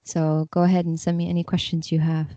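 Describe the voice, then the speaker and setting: A woman with a fairly low, gravelly-edged voice chats informally as a podcast guest. The recording is dry and slightly muffled. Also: monotone, fast talker, mellow, no echo. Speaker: podcast guest, literary translator. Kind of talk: casual interview chat.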